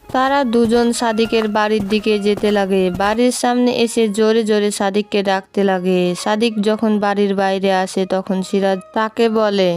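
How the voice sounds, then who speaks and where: A woman's voice: mid-range voice, level tone, normal speed, a little mellow. A woman reading scripted narration for a cartoon moral story, with music behind her.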